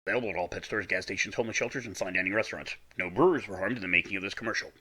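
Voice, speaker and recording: A speed-read deep announcer. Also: male voice, rapid-fire, bright, forceful tone, slightly rough, heavily acted, poor audio. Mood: deadpan. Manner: rattles off fine print. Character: rapid deep-voiced disclaimer announcer.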